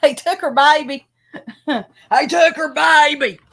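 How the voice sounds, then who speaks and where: A woman's voice: high-pitched, takes it slowly, creaky, fairly bright tone, no room sound. A woman hosting a casual panel chat, mocking and taunting someone with frequent laughter. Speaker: host.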